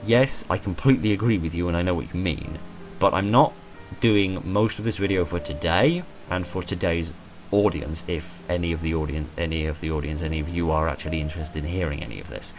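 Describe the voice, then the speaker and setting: A man in his twenties with a hoarse voice, talking in a rambling, self-deprecating way about his channel and stumbling over repeated words from a small room at home. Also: low voice, fairly quick. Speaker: channel creator. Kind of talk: rambling personal monologue.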